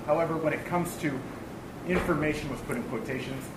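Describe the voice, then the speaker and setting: A man's voice: a little high, fairly quick, recorded from a distance. A young man from California moderating a public panel in a casual, upbeat way. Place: large hall with audience. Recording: echoey room with background noise.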